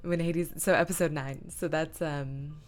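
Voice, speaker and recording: A woman hosting a livestream, casually talking through her computer work and half muttering to herself, with soft music behind her. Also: deep voice, steady tone, a little slow. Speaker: livestream host. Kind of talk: casual livestream chat narrating image editing. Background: lo-fi music, computer keyboard typing.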